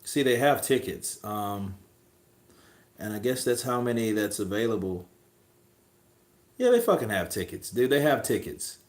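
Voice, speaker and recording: Profane, rambling boxing stream host. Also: male voice, lowish voice, very animated, measured pace, pauses often, echoey room. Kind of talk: casual livestream chat.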